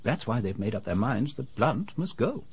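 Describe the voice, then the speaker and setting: An actor playing an unassuming but knowing retired English civil servant, older, genteel and confidential, in a radio drama. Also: male voice, mid-range voice, sing-song, normal speed, darkish tone, a little hiss or hum. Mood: knowing, conspiratorial.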